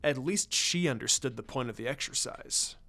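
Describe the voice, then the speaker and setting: A man voicing a laid-back, teasing ninja teacher in a casual, unhurried, cool manner. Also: mid-range voice, pushed and bright, soft background music, voice pushed down. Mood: pensive.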